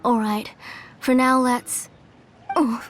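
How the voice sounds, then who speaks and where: A woman playing a gentle, weary, hospitable middle-aged mother in a video game, with a motherly way of talking. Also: a little high, level tone, slow pace, a little hiss or hum. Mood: welcoming. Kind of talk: video game character acting. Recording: game music behind. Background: game music.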